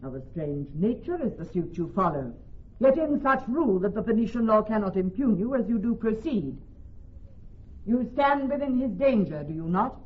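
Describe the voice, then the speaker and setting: An actress playing a composed, eloquent young doctor of law in Shakespearean radio drama, poised and declamatory. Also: female voice, mid-range voice, expressive, moderate pace, natural voice. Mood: composed, formal. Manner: poised, declamatory, clearly phrased. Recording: slightly muffled old radio recording.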